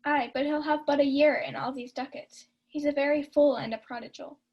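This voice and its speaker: A sharp-witted maid in a radio Shakespeare play, played by a woman. Her voice is high, and she scolds in period English with a no-nonsense manner. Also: steady tone, a little fast, muffled recording, natural voice. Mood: scornful. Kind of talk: student Shakespeare radio acting.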